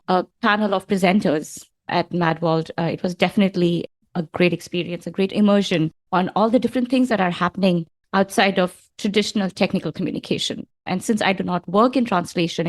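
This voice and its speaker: A woman's voice: mid-range voice, no room sound, studio quality. A woman hosting an interview podcast, greeting her guest in an upbeat, effusive way. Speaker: podcast host.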